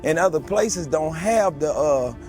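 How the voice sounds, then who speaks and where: A man giving casual, halting answers in an outdoor interview, with fillers and loose grammar. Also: on the high side, lively intonation, darkish tone, faint music behind.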